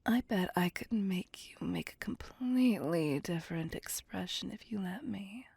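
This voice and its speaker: Woman playing a slow, breathy seductive vampire. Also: mid-range voice, darkish tone, no echo. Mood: flirtatious. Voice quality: breathy, whispery. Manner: slow, teasing, flirtatious. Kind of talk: ASMR roleplay.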